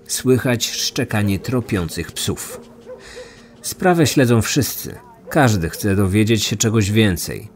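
A man narrating a scripted true crime story as the host of a podcast. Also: fairly low pitch. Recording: music behind the voice. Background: background music.

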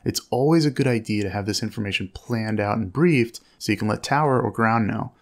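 Explanatory man with gravelly creak. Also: on the low side, a little fast. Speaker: flight instructor narrator. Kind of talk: explanatory commentary on air traffic audio.

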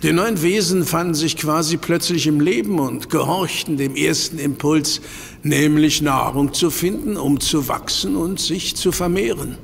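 A calm, learned professor who lays out his case in a patient lecturing manner. His voice sits a touch high and carries gravel. The audio-drama recording has a long reverb and faint hiss. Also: a little slow. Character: learned older male professor, calm explainer. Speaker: professor explaining a crisis. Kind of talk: German audio drama acting.

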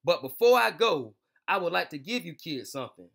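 A man voicing a kind, encouraging older man in a storybook, gently and earnestly reassuring children. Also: a little high, big pitch swings, brisk, creaky, exaggerated voice.

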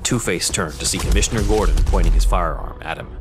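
Deep-voiced male story narrator. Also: fast talker. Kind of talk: scripted audio drama narration. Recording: music behind voice.

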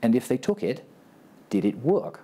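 A man in his fifties with a Texan accent, speaking briskly and self-deprecatingly as a business panelist on a large conference stage. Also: medium pitch, wildly swooping pitch, mellow. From Texas. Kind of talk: panel discussion remarks. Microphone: lapel mic.

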